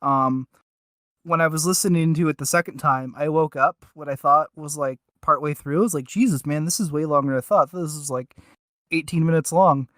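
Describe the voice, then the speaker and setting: A man co-hosting a casual review podcast, joking along in a halting, self-interrupting way. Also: on the high side, fairly bright tone, no room sound, clean studio sound. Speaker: podcast co-host reviewing audios.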